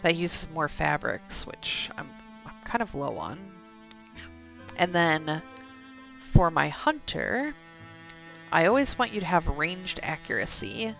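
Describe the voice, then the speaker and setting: A woman's voice: low-pitched, big pitch swings, takes it slowly, vocal fry, long pauses, a bit bright. A woman live-streaming a game, reading its story text aloud with some stumbles and chatting to viewers in asides. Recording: dull lo-fi sound with music behind. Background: game music.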